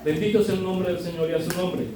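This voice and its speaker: Preacher man, high voice, echoey hall. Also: steady tone, fast. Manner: conversational, often adds tag questions; builds to loud emphatic exhortation. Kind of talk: sermon. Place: church hall. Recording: echoey hall from far off, faint ambient noise.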